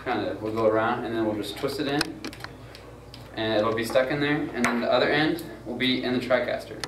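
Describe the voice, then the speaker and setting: A man's voice: medium pitch, fairly quick. A young man giving a casual how-to demonstration on camera setup, talking loosely with lots of fillers. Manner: loose and informal, many fillers and "gonna go ahead". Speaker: student crew tutorial presenter. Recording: roomy, far from mic, noisy.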